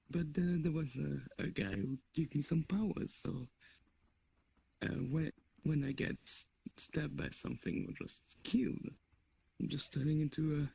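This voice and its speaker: Male roleplayer, heavily gravelly and rough, dark tone, slow halting speech, mysterious half-demon. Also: a little high. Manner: hesitant, halting. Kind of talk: in-character improvised roleplay.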